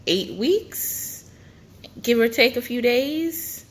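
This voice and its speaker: A woman's voice: on the high side, expressive, extremely slow. A young woman vlogging a casual pregnancy update, chatty and rambling with many fillers, her nose stuffed up.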